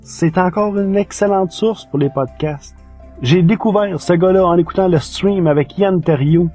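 A man's voice: high voice, normal speed, darkish tone. A man with a Quebec French accent giving an enthusiastic, informal podcast review from his notes. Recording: music behind the voice. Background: music.

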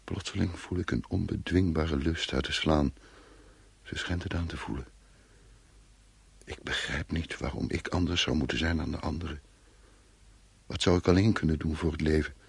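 An actor playing an anxious, irritable man fleeing war in a radio play, with a husky voice. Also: extremely low pitch, normal speed, pauses often, no room sound, full character voice. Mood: brooding, dreamlike. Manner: agitated, commanding, brooding when narrating. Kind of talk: Dutch radio play acting.

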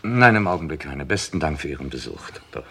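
An actor playing a composed, sceptical police chief inspector in a German radio crime drama, asking measured, dry questions. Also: male voice, very deep voice, very animated, sharp tone, breathy edge, full character voice. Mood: measured, probing.

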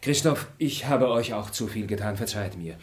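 An actor playing a dignified young male traveller who speaks in a calm, measured, courteous way. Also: lowish voice, natural voice. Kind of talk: radio play acting.

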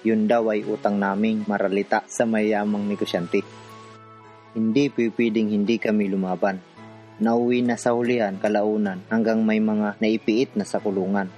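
A man's voice: on the low side, little pitch movement, moderate pace, mellow, lightly acted. A man playing an earnest, determined activist from the urban poor, telling his story in a radio drama. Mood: determined reflective. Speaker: narrator and protagonist. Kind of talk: radio drama narration and dialogue. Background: music.

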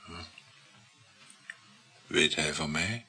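An actor playing a jealous, sceptical lover in a Dutch radio play, terse, questioning and needling. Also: male voice, rumbling low, very slow, bright, forceful tone, breathy edge, full character voice. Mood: insistent, sulky. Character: jealous, sceptical older lover of a married woman.

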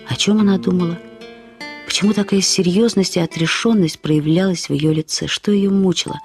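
A woman reading literary prose as a radio storyteller, in an expressive, reflective, measured way. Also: lowish voice. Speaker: narrator of a short story. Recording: studio radio production with music. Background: music.

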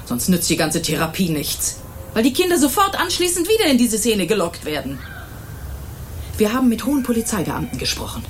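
A woman's voice: medium pitch, very animated, a little fast, lightly acted. Woman playing an indignant, imperious mother, wide-swinging intonation. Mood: bitter, insistent. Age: middle-aged. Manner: stern, imperious, emphatic. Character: indignant, self-righteous middle-aged mother defending vigilantism. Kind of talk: German radio crime drama acting. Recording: 1980s radio drama with faint noise.

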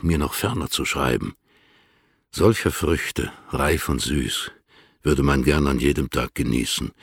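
A courtly older poet in a literary radio drama, speaking from extremely low in the chest. The voice is gravelly and husky, recorded dry. He delivers tender letters with measured formality. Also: no echo, full character voice. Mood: courtly, appreciative.

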